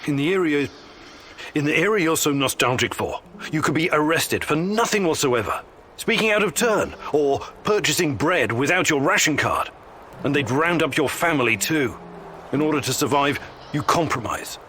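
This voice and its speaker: An actor playing an earnest, determined male scientist in a video game, speaking in a serious, measured, explanatory manner. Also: fairly high pitch, expressive, sharp tone, slight background noise. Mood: firm, weary. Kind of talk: video game character acting.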